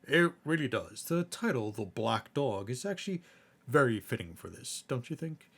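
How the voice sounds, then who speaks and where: A man's voice: mid-range voice, sing-song, normal speed, a bit bright, husky. A man chatting casually as co-host of a story reading. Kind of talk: casual chat about the story.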